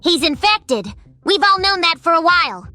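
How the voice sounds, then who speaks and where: Lady voicing bossy lil cartoon hedgehog girl, high pitched n bright, brisk, earnest. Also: sing-song, faint music behind, heavily acted. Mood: weary, concerned. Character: spirited, take-charge young female hedgehog. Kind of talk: comic dub voice acting.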